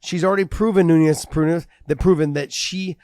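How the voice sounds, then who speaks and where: A man co-hosting a casual MMA podcast, talking in an animated way and repeating words as he builds his points. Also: a little high, normal speed, no echo, studio quality. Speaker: podcast co-host.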